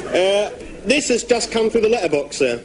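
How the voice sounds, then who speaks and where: An actor playing a dim, obliging, literal-minded police sergeant with a deadpan manner in a radio comedy. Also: male voice, high-pitched, moderate pace, crowd noise, noisy background. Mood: dim, earnest.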